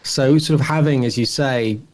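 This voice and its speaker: Tentative, musing man, mid-pitched. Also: normal speed, rough recording. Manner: tentative, musing, often reformulating mid-sentence. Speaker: podcast co-host. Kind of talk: casual podcast discussion.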